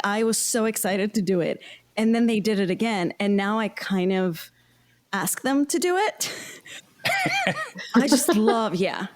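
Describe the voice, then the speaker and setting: Casual woman chatting in an interview. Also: medium pitch. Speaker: cast member of an audio drama. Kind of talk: casual group interview chat.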